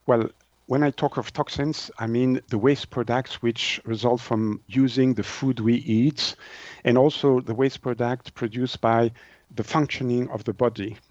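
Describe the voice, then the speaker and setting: Halting man, slightly muffled sound. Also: mid-range voice, fairly even intonation, a little mellow, dry recording. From Switzerland. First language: French. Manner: halting, hesitant delivery with word searching. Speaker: naturopath, interview guest. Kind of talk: explanatory interview answers.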